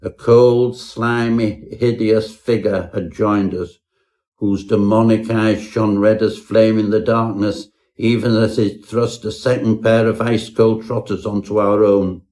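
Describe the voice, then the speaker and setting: A man's voice: on the low side, level tone, unhurried, a little mellow, lightly acted. A man playing a pompous Edwardian professor, narrating his own parody ghost story in a florid, melodramatic way. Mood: haunted dread.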